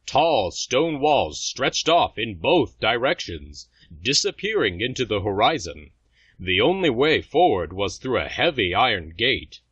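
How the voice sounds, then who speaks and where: A man's voice: medium pitch, very animated, measured pace, fairly bright tone, slightly rough, no echo. A man reading scripted fantasy story narration for an audio drama. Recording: slightly dull, music underneath.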